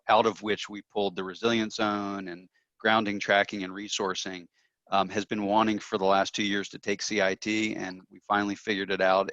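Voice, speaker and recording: A man's voice: fairly low pitch, fairly bright tone, no room sound. A man co-hosting an online group call, making conversational remarks through dull call audio. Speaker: call co-host.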